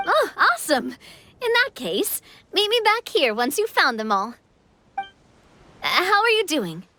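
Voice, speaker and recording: A woman's voice: extremely high pitch, huge pitch swings, unhurried, sharp tone, exaggerated voice. A woman playing an upbeat, confident young female city leader in an anime game, with a playful, casual manner. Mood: cheerful. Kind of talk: anime game dub dialogue.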